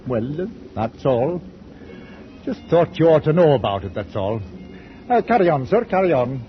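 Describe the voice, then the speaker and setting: Swooping, stolid old sea captain. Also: male voice, fairly high pitch, sing-song, moderate pace. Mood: matter-of-fact. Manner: clipped, musing, matter-of-fact. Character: stolid, literal-minded old sea captain, matter-of-fact. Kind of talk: old-time radio drama acting. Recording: muffled, noisy vintage radio recording.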